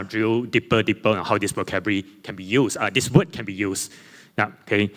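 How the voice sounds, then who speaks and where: A man presenting slides at a conference panel, speaking English with Chinese as his first language, in a halting way full of fillers and self-corrections. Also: lowish voice, fairly bright tone. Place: conference hall. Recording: long reverb in a conference hall.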